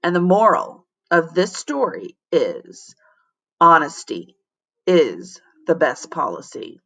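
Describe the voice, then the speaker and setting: A woman teacher reading a children's story aloud in an expressive storytelling style. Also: lowish voice, takes it slowly. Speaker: teacher reading to her class.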